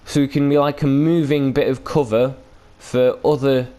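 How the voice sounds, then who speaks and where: A man's voice: medium pitch, flat delivery, moderate pace, mellow. A man hosting a gaming video with casual, unscripted commentary, rambling and hesitant with loose, sweary language.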